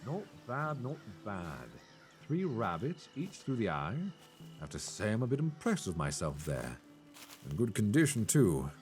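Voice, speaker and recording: A man voicing a good-humored, teasing elf hunter in a soft ASMR fantasy roleplay, close to a binaural microphone. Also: lowish voice, wildly swooping pitch, a little slow, faint music behind. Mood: pleased. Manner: playful, gently instructing, chuckling often. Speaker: voice actor in audio roleplay.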